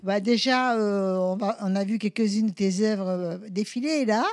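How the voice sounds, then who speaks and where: A woman's voice: mid-range voice, moderate pace, a little mellow, no echo, clean studio sound. A woman hosting a talk show, chatty and laughing often as she questions her guests. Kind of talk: casual chat.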